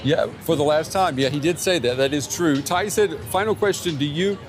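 A man anchoring a news broadcast, reading scripted links in a measured way and questioning guests. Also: a little high, soft background music. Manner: measured, scripted delivery with occasional ums in interviews.

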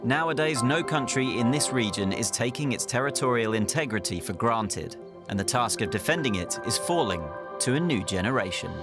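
A man reading scripted documentary news narration in a measured, even delivery. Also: medium pitch. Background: music bed.

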